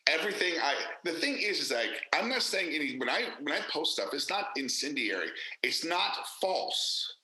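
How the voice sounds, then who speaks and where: A man's voice: fairly high pitch, big pitch swings, normal speed, hardly any pauses, sharp tone, roomy sound, low-quality recording. A man, an actor and director, speaking candidly and emphatically in a casual interview, with many fillers and restarts.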